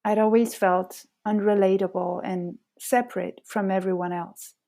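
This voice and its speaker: A woman in her thirties from France, a native French speaker with a French accent, hosting a podcast with measured, scripted personal narration. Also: medium pitch, fairly even intonation, vocal fry, mellow. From France, Paris suburbs. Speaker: podcast host.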